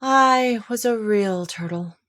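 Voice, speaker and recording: Woman as a slow, mournful turtle creature. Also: fairly high pitch, a bit bright, voice pushed up. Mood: mournful, hollow. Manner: slow, sighing, doleful. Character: mournful, self-pitying old male turtle creature, touchy. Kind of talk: storybook character voice.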